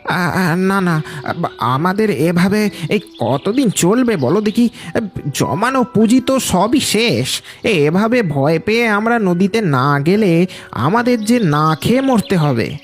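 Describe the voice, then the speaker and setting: Man acting an anxious high-voiced village fisherman. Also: sing-song, slow pace, faint music behind, heavily acted. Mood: worried pleading. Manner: stammering, plaintive. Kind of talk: animated ghost story acting.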